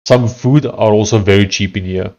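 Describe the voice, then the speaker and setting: A man's voice: fairly low pitch, a little mellow. A man from South Africa with an Afrikaans accent, chatting casually on a livestream and reading messages and text aloud. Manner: conversational, reads text aloud haltingly. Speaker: livestreaming coder.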